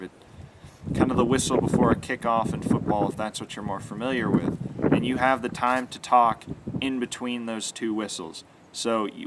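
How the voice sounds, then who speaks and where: A man's voice: medium pitch, fairly bright tone. A young man giving an earnest instructional explanation to camera, stressing his key words. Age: young adult. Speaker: sports announcing instructor. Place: outdoors in a yard with trees. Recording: clear outdoor background noise.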